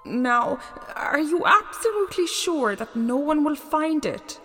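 A woman puts on a courteous, weary messenger god from a storybook myth, formal and anxious. The voice is pitched up and earnest, echoing in a long reverb with music beneath. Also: expressive, moderate pace. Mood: anxious, grave.

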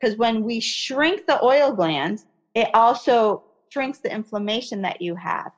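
A woman's voice: a little high, animated, measured pace, dull audio. A woman raised in America by Iraqi parents, explaining skin care in a chatty interview and often checking in with "okay?" and "you know". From Iraqi family, raised in America. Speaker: skincare expert guest.

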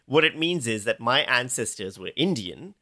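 A man chatting on a comedy podcast. His voice is bright and forceful and swoops up and down as he tells stories. He mocks himself and laughs often. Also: mid-range voice, normal speed. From Pakistan. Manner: self-mocking, animated storyteller, laughs often. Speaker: podcast co-host. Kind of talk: casual comedic chat.

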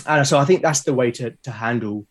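A British man talking casually as an interview guest, explaining things haltingly and often repeating his words. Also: medium pitch. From Britain. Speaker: podcast guest, game master and podcast creator. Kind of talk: casual conversational interview talk.

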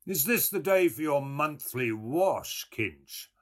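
A man's voice: medium pitch, very animated, slow pace, bright, forceful tone, pitched above the performer's own voice, exaggerated voice. A man voicing a boisterous, mocking young Irish wit in a storybook, with mock-grand declamation.

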